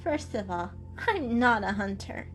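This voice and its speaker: A woman's voice: medium pitch, animated, unhurried, faint music behind, lightly acted, low-quality recording. A woman voicing a small, dainty female house cat with a plaintive, anxious and slightly fussy manner, in an animal storybook reading. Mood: prim, soft.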